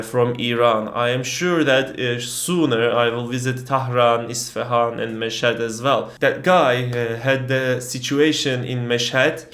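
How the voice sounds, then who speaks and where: Turkish-accented man, animated travel story. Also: medium pitch, reverberant. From Turkey. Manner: non-native English, frequent fillers, animated retelling. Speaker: travel vlogger. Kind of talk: casual storytelling vlog.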